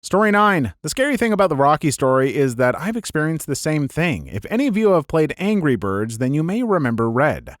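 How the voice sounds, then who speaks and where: A man reads viewer stories aloud in a soothing voice that still rises and falls in a lively way, sitting a little high for a man. His asides are chatty and self-mocking, and the sound is dry and close, with no room around it. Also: fairly quick, hardly any pauses, dry recording. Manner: lively, laughs at himself in the asides. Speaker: narrator of a storytelling channel.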